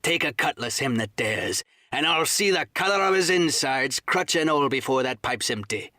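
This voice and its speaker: A man voicing a cunning, commanding old pirate sea cook who drawls threats in rough seafaring dialect, as in an audiobook. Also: mid-range voice, lively intonation, measured pace, bright, forceful tone, no echo, clean studio sound. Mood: roaring defiance. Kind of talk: dramatised audiobook reading.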